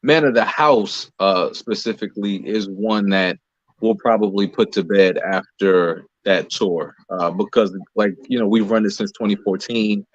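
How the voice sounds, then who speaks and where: A man's voice: on the low side, a little slow. An American man talking casually and explaining things as an interview guest, in a conversational way. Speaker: playwright and producer being interviewed. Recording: roomy echo, dull lo-fi sound.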